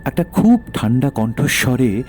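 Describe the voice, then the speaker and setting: A man's voice: mid-range voice, big pitch swings, measured pace, darkish tone, faint music behind. A man narrating a first-person horror audio story in a dramatic storytelling style.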